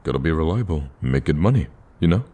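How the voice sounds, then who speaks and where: Man acting a very deep, husky smitten villain. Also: animated, fast, warm tone. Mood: earnest, reassuring. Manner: casual, conversational, stammers when flustered. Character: smitten male villain, eager, flustered boyfriend on a date. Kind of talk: romantic ASMR roleplay.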